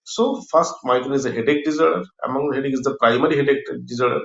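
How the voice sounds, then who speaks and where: A man with an Indian accent, a neurologist guest explaining medical matters in a halting, repetitive, teacherly way. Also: medium pitch, fairly bright tone. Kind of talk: explaining medical topic in interview. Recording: dull, echoey remote call audio.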